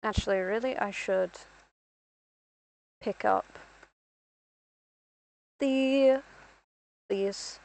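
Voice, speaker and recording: A woman's voice: mid-range voice, drawn-out words, pauses often, a little mellow, no echo, poor audio. A young woman from Ireland rambling through casual gameplay commentary as a streamer, self-deprecating and talking to herself.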